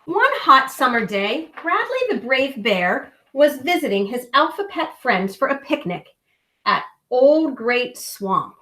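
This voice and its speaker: Slow, animated woman storyteller. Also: sits high, poor audio. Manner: deliberate, stressing key words for young listeners. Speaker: children's storyteller. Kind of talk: read-aloud children's story with asides.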